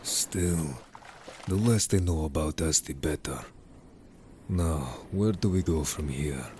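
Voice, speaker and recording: A man's voice: extremely low pitch, slow pace, a little mellow, a little hiss or hum. A man playing a stern, wary merman in an audio story, curt and grave, distrustful of humans. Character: stern, wary, disapproving merman information gatherer. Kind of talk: audio story character acting.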